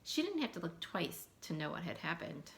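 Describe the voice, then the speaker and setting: A woman reading a picture book aloud to children in an animated, expressive way, adding asides and questions in mock surprise. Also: lowish voice, moderate pace, gravelly, a little hiss or hum. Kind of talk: animated read-aloud storytelling with asides to young listeners.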